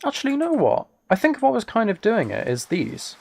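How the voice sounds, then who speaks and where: A man's voice: sits high, wildly swooping pitch, fairly quick, creaky, a little mellow. A man chatting casually as a live streamer, thinking aloud while he plays a game. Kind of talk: casual gaming livestream chat.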